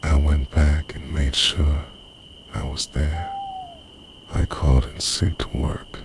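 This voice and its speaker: A man playing an obsessive, devoted boyfriend in an intimate ASMR roleplay, speaking in a hushed, breathy, deliberate way that slips into whispers. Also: extremely low pitch, steady tone, heavily acted. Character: obsessive, devoted time-travelling boyfriend, softly confessing. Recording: noisy night ambience with crickets.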